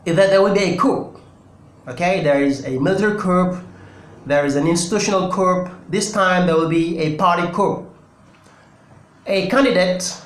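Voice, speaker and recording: A man from Senegal with a Senegalese accent, his first language Wolof, giving earnest solo political commentary with deliberate pauses and restarts. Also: on the high side. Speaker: political commentary channel host. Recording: echoey room.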